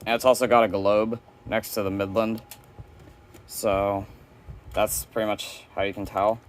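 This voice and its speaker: A man's voice: on the low side, flat delivery, a little slow, slight background noise. A man giving a casual, rambling, offhand hands-on demo of his collection, presenting as a hobby collector. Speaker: weather radio collector presenting.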